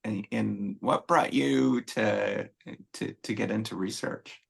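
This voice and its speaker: A man's voice: lowish voice, slow, vocal fry, breathy edge. A man hosting an interview, asking questions in a loose, rambling, hesitant way. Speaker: host. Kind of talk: casual interview chat.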